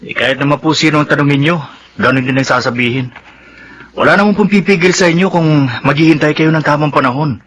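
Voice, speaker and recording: A younger brother in a radio melodrama. His voice is fairly high and husky, and he reasons earnestly, trying to placate his family. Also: fast talker, fairly bright tone. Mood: placating. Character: reasonable, conciliatory younger brother and dutiful son. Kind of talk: Filipino radio melodrama acting.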